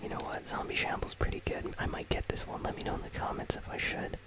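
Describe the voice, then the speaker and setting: A host whispering casual, conversational ASMR commentary on a video game in a breathy, unvoiced voice, close to the microphone. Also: fast. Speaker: host of a gaming news channel.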